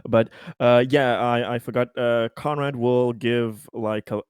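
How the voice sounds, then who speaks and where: A man's voice: medium pitch, fairly even intonation, a little slow, smooth, dry recording. Dry-recorded man, hesitant tabletop player. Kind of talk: casual chat about in-game actions.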